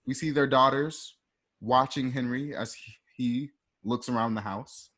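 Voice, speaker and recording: A man with a medium-pitched voice serves as narrator for a radio drama. He reads out scene directions informally, a little haltingly, dropping in asides. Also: fairly bright tone.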